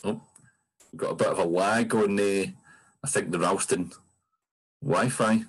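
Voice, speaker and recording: A man's voice: on the low side, measured pace, husky, low-quality recording. A Scottish man hosting an online fan Q&A, chatting informally and a little hesitantly on a video call. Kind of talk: conversational moderating.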